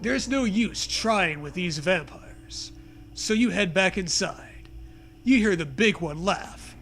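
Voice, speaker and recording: A man's voice: sits high, animated, takes it slowly, a bit bright, slight background noise. A man reading a comic storybook aloud, with casual banter mixed in. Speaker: reader of a comic choose-your-own-adventure book.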